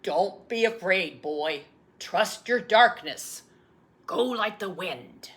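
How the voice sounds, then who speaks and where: A woman voicing a gentle, loving old grandfather in a solemn, tender storybook read-aloud. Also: lowish voice, animated, takes it slowly.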